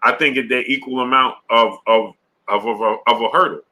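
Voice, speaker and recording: A man's voice: mid-range voice, fairly even intonation, fairly quick, bright, forceful tone, rough recording. A man hosting a live-stream boxing show, greeting viewers in the chat and talking through his views with many fillers and restarts, often checking in with "right?". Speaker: sports show host.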